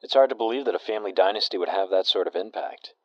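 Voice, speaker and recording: Actor playing a deep, deadpan radio host. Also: male voice, fast talker, no echo, lo-fi sound. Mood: earnest. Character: dry, genial male wasteland talk radio host. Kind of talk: audio drama acting as talk radio.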